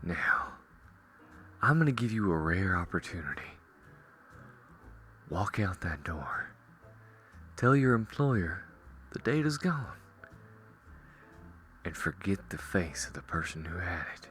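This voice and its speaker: Man voicing a deep, slow-drawling cowboy gunslinger. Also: sing-song, fairly bright tone, soft background music, full character voice. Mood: cool, threatening. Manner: slow, drawling, wry, reassuring. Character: calm, cocky gunslinging cowboy ranger, teasing but protective. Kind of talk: roleplay audio drama addressed to the listener.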